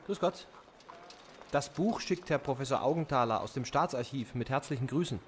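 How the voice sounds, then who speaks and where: A man's voice: medium pitch, moderate pace, a little hiss or hum, lightly acted. An actor playing a polite male messenger in a German audio drama.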